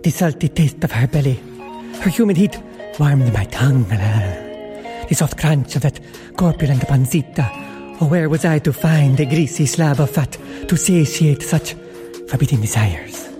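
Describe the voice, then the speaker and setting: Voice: medium pitch, lively intonation, a little slow, natural voice. A performer voicing a lustful, overwrought food craver in a theatrical parody commercial. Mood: sultry, yearning. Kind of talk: melodramatic commercial parody monologue. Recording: music behind.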